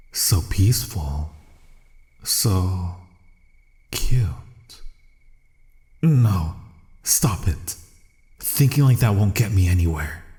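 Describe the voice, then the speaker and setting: Man acting a soft, mellow, restrained bodyguard assassin. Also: deep voice. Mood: flustered, softening. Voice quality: soft, gentle voice. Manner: restrained, dry, inner monologue and courteous address. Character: secret assassin posing as a polite, exasperated bodyguard. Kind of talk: ASMR roleplay audio acting.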